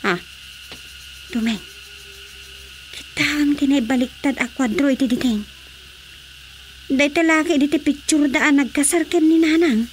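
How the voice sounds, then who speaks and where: Radio drama daughter: high voice, Ilocano accent, concerned peacemaker, ambient noise behind. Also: female voice, unhurried, pauses often, rough recording. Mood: worried. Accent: Ilocano Filipino. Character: concerned young daughter, earnest peacemaker between her parents.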